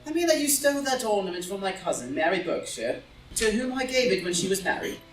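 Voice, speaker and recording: A witty gentleman dandy, acted by a man for an audio stage drama, with lively, swooping intonation. He is dry, cool and commanding. The voice sits a little away from the mic in a roomy space. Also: high-pitched, fast talker. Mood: accusing. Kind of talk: live group reading of a stage play as audio drama. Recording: roomy, distant group reading with faint music.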